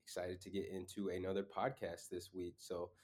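A man's voice: deep voice, level tone, moderate pace, a little mellow. A man with a stuffed-up, sniffly nose hosts a solo podcast, talking casually and conversationally with frequent ums and uhs. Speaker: podcast host.

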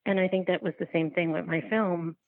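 A woman's voice comes through a narrow phone-like connection, fairly low with a creaky edge. She answers as an interview guest, reflective and halting. She often rephrases as she thinks out loud. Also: fairly even intonation, no echo. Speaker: guest, documentary filmmaker. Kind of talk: reflective podcast interview conversation. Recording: thin, phone-like line.

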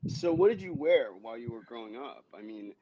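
A man's voice: medium pitch, sing-song, fairly quick, dark tone, lo-fi sound. A man hosting a podcast, moving between wry announcements and casual questions. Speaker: podcast host. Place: indoors, in person with guest.

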